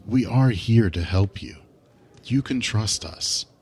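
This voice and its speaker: Solemn male protector, acted, very low husky voice, earnest reassurance. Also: animated, takes it slowly, darkish tone, exaggerated voice. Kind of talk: dramatic audio drama acting.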